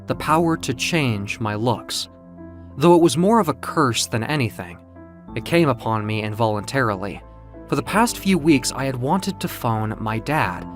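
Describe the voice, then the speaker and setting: Casting: male narrator, medium pitch, highly animated and emotive, scripted first-person storytelling over music.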